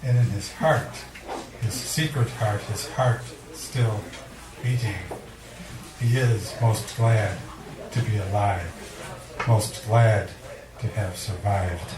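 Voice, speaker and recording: A man giving a live poetry reading, speaking in a measured, deliberate way with dramatic pauses and casual introductions. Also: lowish voice, recorded from a distance, crowd noise. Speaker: poet reading at a poetry event. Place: indoor cafe venue before a live audience. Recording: echoey room recorded from afar, audience murmur.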